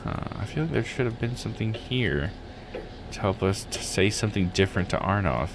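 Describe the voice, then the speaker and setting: A man's voice: lowish voice, animated, fairly quick, background noise. A man live-streaming a visual novel, reading text aloud and musing casually as he plays. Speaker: game streamer.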